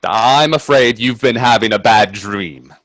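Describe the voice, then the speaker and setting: An actor playing a nervously polite, incredulous gentleman guest in a radio play, stammering and deferential. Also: mid-range voice, measured pace, dry recording. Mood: skeptical. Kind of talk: radio play acting with narration.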